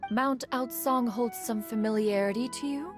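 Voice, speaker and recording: A woman's voice: fairly high pitch, normal speed, natural voice. A woman voicing a composed immortal guide in a video game, speaking in formal, old-fashioned phrasing. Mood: reassuring. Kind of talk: video game cutscene dialogue. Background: game music.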